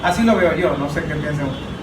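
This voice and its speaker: A man speaking as an analyst in a political roundtable, making his argument deliberately through listed examples. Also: a little high. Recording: echoey, distant mic, background noise.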